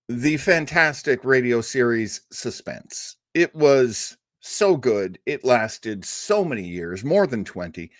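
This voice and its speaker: A man hosts a livestream in a casual, enthusiastic way, dotting his talk with "uh" and "you know." His voice rises and falls in a lively way. The sound is dry and close. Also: medium pitch, no room sound. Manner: chatty and enthusiastic, with many fillers. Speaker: host of a livestreamed radio-show listening party.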